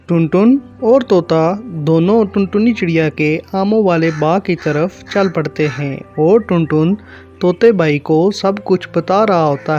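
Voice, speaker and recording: High-pitched male story narrator. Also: a little mellow, dull audio. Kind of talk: scripted children's story narration.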